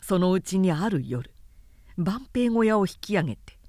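A woman narrating a historical novel as a scripted recitation, measured and dramatic in delivery. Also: mid-range voice, big pitch swings, warm tone, dry recording, studio quality.

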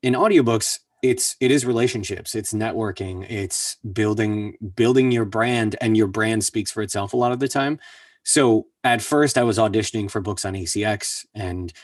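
A man with a somewhat low, husky voice shares his career story in an earnest, energetic way and often repeats words for emphasis. The recording is dry and picks him up from a little way off the mic. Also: moderate pace, no echo. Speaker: voice actor guest. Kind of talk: casual interview storytelling. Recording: distant mic, dry sound.

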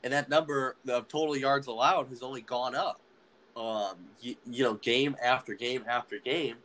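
A man's voice: medium pitch, steady tone, a bit bright, slight background noise, poor audio. Young male student podcast host, loose hesitant sports talk, ordinary mid-range voice. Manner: halting, many fillers and restarts. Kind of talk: casual sports podcast chat.

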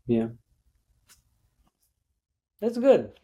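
Male podcast host with a somewhat raised pitch; relaxed, halting questions. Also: breathy edge. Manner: asks questions with fillers and restarts. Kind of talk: casual interview questions.

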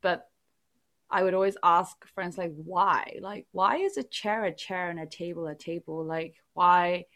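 A woman's voice: on the low side, a little slow. A woman born in England whose first language was not English, chatting casually and telling a rambling story full of "like"s. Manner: animated storytelling, rambling with many "like"s. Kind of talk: casual chat.

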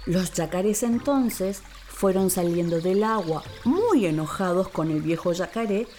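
A woman narrating a scripted children's story in an expressive, theatrical way. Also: on the low side, unhurried, soft background music.

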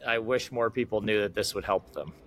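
A man's voice: lowish voice, fairly quick, a little hiss or hum. A man hosting a business podcast, curious and friendly, asking long, winding questions with several parts. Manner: curious, asks long multi-part questions. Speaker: podcast host. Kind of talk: interviewing a guest.

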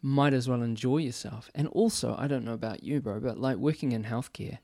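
A man's voice: medium pitch, fairly quick, dark tone, no echo, studio quality. A man in his forties working in healthcare, talking thoughtfully in a casual chat, often restarting his sentences. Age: 40s. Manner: thoughtful, restarts a lot, talks with his hands. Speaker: healthcare worker. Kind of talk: casual conversation. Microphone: boom mic.

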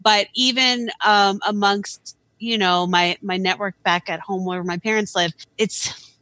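Bright-voiced earnest woman guest. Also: mid-range voice, fairly even intonation, measured pace, dry recording. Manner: thoughtful, earnest, many restarts and "like". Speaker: photographer guest. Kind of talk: casual podcast conversation. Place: Philadelphia area.

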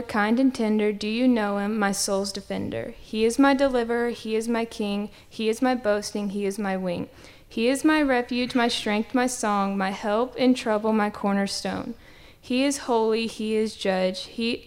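A woman performs a devotional spoken-word poem, riding a rhythmic, rhyming cadence. Her voice sits a little high and comes through smooth and resonant. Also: steady tone, moderate pace, a little mellow.

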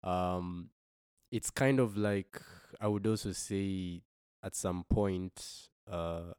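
A young man from Kenya, a musician guest on a podcast, talking thoughtfully with frequent pauses and restarts. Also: low-pitched, fairly even intonation, a little mellow, clear, resonant tone, no room sound, studio quality. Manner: thoughtful, hesitant with many restarts. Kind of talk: reflective podcast conversation.

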